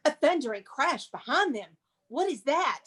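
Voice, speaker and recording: High-pitched woman narrating stage directions. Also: big pitch swings, normal speed, a bit bright. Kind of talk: dramatic script reading with casual improvised asides.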